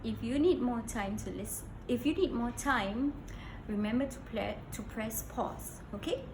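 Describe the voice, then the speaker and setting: A woman's voice: fairly high pitch, a little slow. A woman reading a storybook aloud to young children in a sing-song manner, asking her listeners questions. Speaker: children's read-aloud host. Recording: echoey room with background noise.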